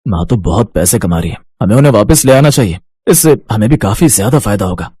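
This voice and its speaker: A man playing a husky, pushy grown son. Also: on the low side, animated, fast talker, warm tone, no room sound. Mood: greedy, scheming. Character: grown son, scolding, greedy, falsely contrite.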